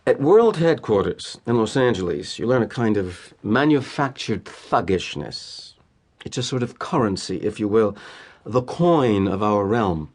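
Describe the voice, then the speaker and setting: An actor with an American accent playing a cynical, polished middle-aged corporate executive in an audio drama monologue, wry and deliberate with emphatic pauses. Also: male voice, fairly low pitch, lively intonation, darkish tone. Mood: wry, confiding. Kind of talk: theatrical first-person dramatic monologue.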